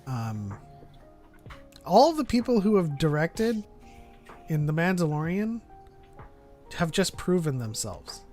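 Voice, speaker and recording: Podcast host, casual fan chat, mid-range pitch, faint music behind. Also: very animated, takes it slowly, long pauses, mellow.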